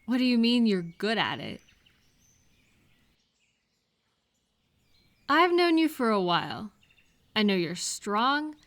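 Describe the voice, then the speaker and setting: A woman playing a kind, caring friend in a comfort roleplay audio, speaking gently and reassuringly with soft questions. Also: medium pitch. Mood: gently firm. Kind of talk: comfort audio roleplay, one-sided conversation.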